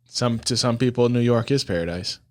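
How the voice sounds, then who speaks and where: A man's voice: mid-range voice, fairly quick, darkish tone, slight background noise. A young adult man co-hosting a family podcast, chiming in with dry, skeptical remarks. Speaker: co-host. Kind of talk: casual family chat.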